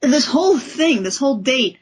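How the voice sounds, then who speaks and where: A woman hosting a podcast, chatting casually and with giggly enthusiasm about comics in a husky voice. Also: high voice, lively intonation, moderate pace. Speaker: podcast host. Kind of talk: casual comic book discussion.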